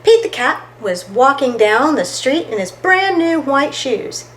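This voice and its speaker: A woman with a notably high voice reads a picture book for young children. She takes her time over the words, with expressive, singsong phrasing and a dramatic storytime delivery. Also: unhurried. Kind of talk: animated children's picture book read-aloud.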